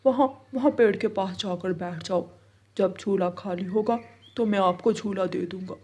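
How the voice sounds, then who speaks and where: A woman's voice: mid-range voice, normal speed, mellow, breathy edge, voice pushed down, lightly acted. A woman voicing a firm, matter-of-fact adult male bird running a swing in a cartoon.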